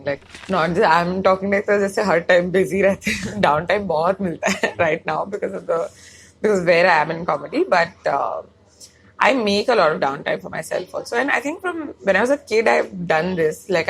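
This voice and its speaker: Young indian woman, bangalore accent, kinda low and gravelly, rambles and flips between english and hindi mid-sentence. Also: rough recording. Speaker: stand-up comic and comedy writer. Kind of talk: casual chat.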